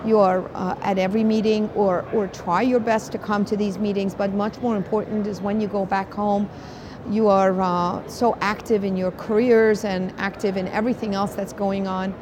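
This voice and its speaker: A woman's voice: mid-range voice, little pitch movement, moderate pace. A woman doctor hosting an interview at a medical conference, speaking in an effusive, emphatic way. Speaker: host, interventional cardiologist. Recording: clearly noisy conference background.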